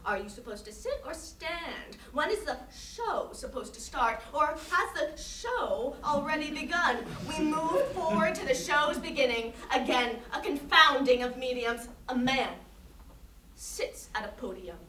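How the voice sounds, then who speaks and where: A woman's voice: high voice, expressive, unhurried, bright, forceful tone, full character voice. A woman acting a grandiose, earnest conceptual artist who lectures emphatically in a staged interview. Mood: lofty, lecturing. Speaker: actor in a staged reading. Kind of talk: theatrical monologue in interview form. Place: staged reading in a reverberant room. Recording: roomy, distant microphone, noisy hall.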